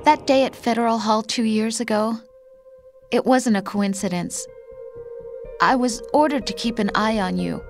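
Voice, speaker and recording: A woman's voice: fairly high pitch, moderate pace, natural voice. An actress playing a tender, guilt-ridden young woman in a dramatic video game scene, earnest and hesitant. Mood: guilty, confessing. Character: young woman, tender, guilty spy confessing to her lover. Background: loud music.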